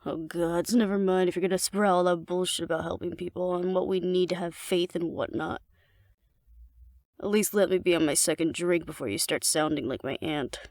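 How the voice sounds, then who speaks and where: A woman's voice: on the low side, steady tone, moderate pace, a little mellow, no echo, studio quality, close to an everyday voice. A woman playing a cocky, flirtatious female alpha werewolf in an intimate audio roleplay, speaking to the listener in a wry, teasing, confident way. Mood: skeptical, wry.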